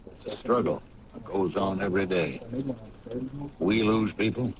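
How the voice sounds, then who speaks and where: An actor playing a resigned, pragmatic police captain in a 1970s radio drama, speaking in a measured way with weighty pauses. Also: male voice, low voice, steady tone, crowd noise. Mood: grave, resigned. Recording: dull old radio broadcast, noisy backing.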